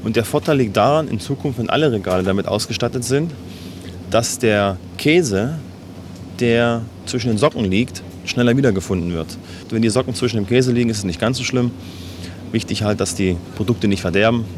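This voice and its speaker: A man's voice: medium pitch, fairly quick, noisy background. A man explaining a product demonstration step by step in a matter-of-fact way.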